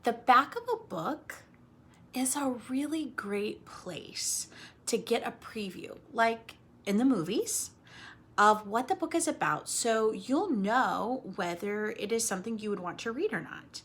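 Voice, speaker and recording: A woman with a slightly husky voice leading a read-aloud lesson for children, explaining things simply in an expressive, coaxing way and speaking to them directly. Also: on the high side, measured pace. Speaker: teacher reading aloud to children.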